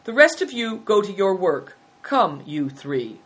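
A woman playing a stern young Mongolian prince in a story, speaking with formal, measured authority. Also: mid-range voice, very animated, slightly rough.

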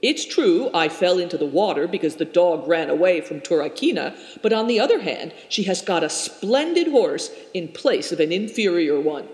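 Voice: mid-range voice, sing-song, moderate pace, few pauses, darkish tone. A reader voicing a practical man who reports matter-of-factly and gives firm orders, in a roomy space with long reverb.

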